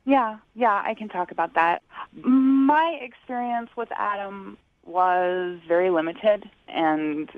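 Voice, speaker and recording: A woman's voice: mid-range voice, slow pace, no room sound. Woman calling into radio, careful hedgy way of talking, dry dull phone sound. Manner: hesitant, tactful, hedging. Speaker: phone-in guest on a radio segment. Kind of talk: candid conversational recounting. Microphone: phone call. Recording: muffled, band-limited phone line.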